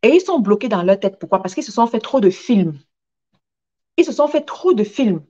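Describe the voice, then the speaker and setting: A woman speaks as a motivational coach, in a voice of average pitch for a woman. She drives her points home emphatically, repeating key phrases as she goes. The recording is a little dull and lacking in detail. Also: sing-song, moderate pace, dark tone. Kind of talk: motivational talk with personal anecdotes.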